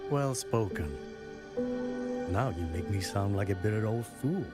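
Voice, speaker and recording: Very deep, solemn old village chief. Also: male voice, expressive, over loud music. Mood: gentle, grave. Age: older. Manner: measured, formal, grave. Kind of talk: scripted fantasy game dialogue.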